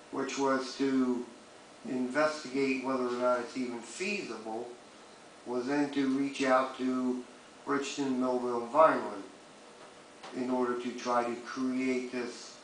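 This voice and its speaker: A man's voice: mid-range voice, steady tone, slow pace. Plain-spoken man in a very echoey room. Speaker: city commissioner. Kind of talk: public meeting remarks, clarifying. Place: public meeting room. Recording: very echoey room, distant mic, faint noise.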